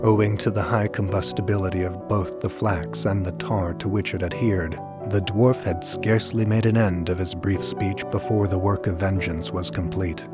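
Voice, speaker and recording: A man narrating gothic fiction as an audiobook, with measured, deliberate, dramatic storytelling. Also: low voice, little pitch movement, over loud music.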